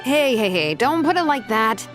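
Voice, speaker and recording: A woman's voice: fairly high pitch, sing-song, moderate pace, fairly bright tone, faint music behind. A woman voicing a laid-back, sardonic young artisan in a video game, with a casual, cheeky, drawling delivery. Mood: defensive, teasing.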